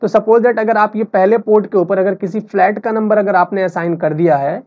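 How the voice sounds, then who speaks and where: A man's voice: sits high, steady tone, a little fast. A man explaining technical steps in an unscripted tutorial, going over each one repeatedly and mixing in English terms. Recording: dull lo-fi voice-over.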